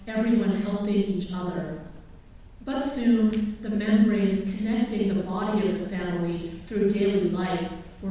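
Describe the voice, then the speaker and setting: Middle-aged woman author reading her memoir aloud, measured and reflective, mellow tone, distant mic in a very echoey room. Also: mid-range voice. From Philippines.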